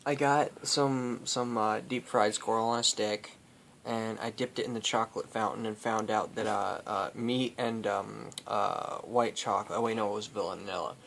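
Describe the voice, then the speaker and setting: A man telling an improvised comic story in a halting, rambling way, as host among friends. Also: lowish voice, fairly even intonation, creaky, a bit bright. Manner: rambling, halting, often breaking into laughter. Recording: noisy home recording with clicks.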